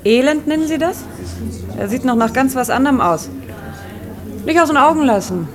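An actress playing a suspicious, snooty senior saleswoman in a post-war radio play, brisk and disdainful. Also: sits high, expressive, music in the background.

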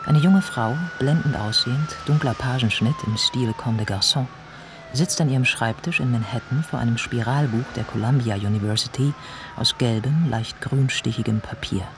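A man giving a scripted radio play narration in a measured, deliberate, descriptive manner. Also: mid-range voice, background noise.

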